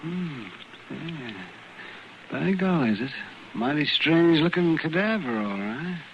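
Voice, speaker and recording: An actor playing a fussy, excitable frontier doctor, muttering folksily in an old-time radio western. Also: male voice, fairly high pitch, unhurried. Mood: absorbed, curious. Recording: dull, noisy old radio recording.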